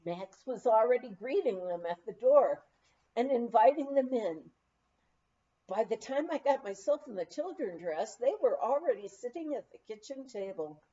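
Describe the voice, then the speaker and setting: A woman reading a memoir aloud in an expressive, unhurried storytelling manner. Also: a little high, highs cut off. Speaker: audiobook reader.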